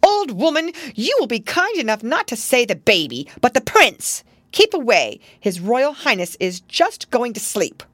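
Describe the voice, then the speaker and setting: A woman voicing a haughty, indignant young lady nurse of high rank in a storybook, with a sharp, imperious manner. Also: very animated, unhurried, few pauses, no room sound. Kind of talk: storybook character voice.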